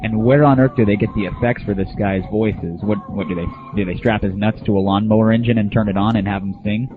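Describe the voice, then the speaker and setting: A man's voice: lowish voice, fairly even intonation, quick pace, background noise. A man hosting an internet audio show, giving casual, opinionated solo commentary with irreverent, crude humor. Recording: thin phone-like early internet audio.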